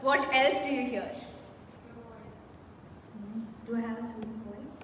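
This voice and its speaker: A high-pitched woman running an interactive workshop, asking the group questions and talking them through exercises. She is heard from a distance in a big echoey hall, with faint noise underneath. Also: unhurried. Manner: engaging, asks the audience questions, leads exercises. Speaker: workshop presenter. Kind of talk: interactive self-care workshop. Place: large hall with an audience.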